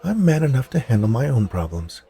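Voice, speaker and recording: A man's voice: medium pitch, big pitch swings, brisk, vocal fry, dark tone, husky. A man hosting a fiction podcast, interviewing guests and narrating stories. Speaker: podcast host and story narrator.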